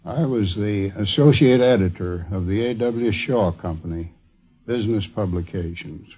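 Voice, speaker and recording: An older man telling an anecdote in a halting, deliberate way, interviewed for a 1950s radio programme. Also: lowish voice, breathy edge. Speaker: interviewee, former magazine editor. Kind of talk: anecdotal reminiscence. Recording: dull 1950s radio recording.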